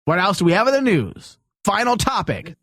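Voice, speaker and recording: A man's voice: high-pitched, sing-song, normal speed, a bit bright, dry recording. A man hosting a casual comedy talk show, brash and joking, with crude, profane banter. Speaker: podcast host.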